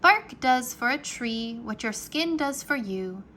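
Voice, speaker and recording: A woman reading a children's book aloud like a teacher, expressive and carefully enunciated for young listeners. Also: a little high, takes it slowly, a little hiss or hum. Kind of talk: children's read-aloud narration, rhyming nonfiction.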